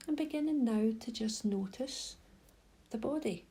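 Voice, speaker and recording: A woman leading a guided meditation in a soft, breathy voice, giving gentle, unhurried instructions with pauses. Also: fairly high pitch. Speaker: mindfulness guide.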